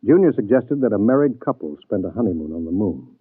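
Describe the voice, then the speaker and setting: A sober government chief speaks in a lowish, husky voice. He is matter-of-fact and conversational, in 1950s radio drama style. The recording is dry and narrow-band, like a vintage broadcast. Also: big pitch swings, fast talker, dry recording. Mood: earnest.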